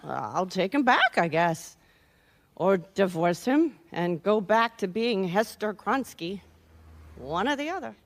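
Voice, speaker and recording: An actress playing a cynical, brazen wife hiring a private detective in a radio mystery, with a wry, worldly manner. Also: female voice, medium pitch, very animated, a little slow. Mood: blasé, sardonic.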